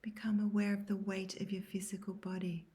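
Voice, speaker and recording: A woman guiding a scripted yoga nidra meditation in a soft, breathy, near-whispered voice, calm and measured. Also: mid-range voice. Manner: calm, measured, soothing instruction. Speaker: guided meditation instructor.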